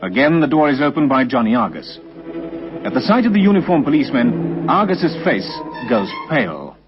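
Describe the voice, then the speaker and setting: An actor as the grave, dramatic narrator of a scripted old radio crime story. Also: male voice, mid-range voice, very animated, normal speed, over music, natural voice. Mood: grave narration. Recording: muffled vintage radio recording.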